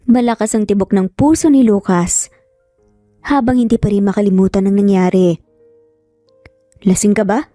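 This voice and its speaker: A woman's voice: mid-range voice, warm tone, no room sound, studio quality. A woman with a Filipino accent reading a scripted, dramatic romance story as narrator.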